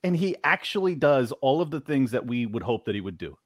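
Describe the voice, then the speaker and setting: A man hosts a loose political podcast chat, his talk full of restarts and laughter. His mid-pitched voice moves up and down freely. The recording is close and dry. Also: sing-song, a little fast, no room sound. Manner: rambling, restarts, laughs a lot. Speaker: podcast host.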